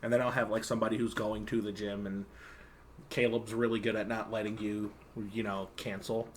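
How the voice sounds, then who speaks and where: A man's voice: on the low side, flat delivery, a little fast, a little hiss or hum. Rambling young man, casual podcast chat. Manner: rambling, filler-heavy, joking. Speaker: podcast co-host.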